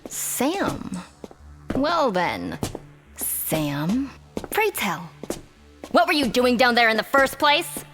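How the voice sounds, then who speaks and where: Woman acting a high, animated, snappy demon guard. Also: drawn-out words, pauses often, over music, full character voice. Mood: condescending, prying. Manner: sarcastic, exasperated, swooping. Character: sardonic, snappy young female demon-guard, nervy under authority. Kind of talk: audio drama acting.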